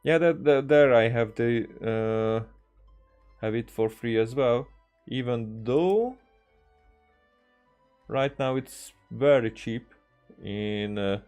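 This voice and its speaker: A man streaming games, chatting casually in a hesitant, halting way as he thinks aloud. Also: medium pitch, darkish tone, smooth. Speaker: game streamer. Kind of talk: casual livestream chat. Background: music.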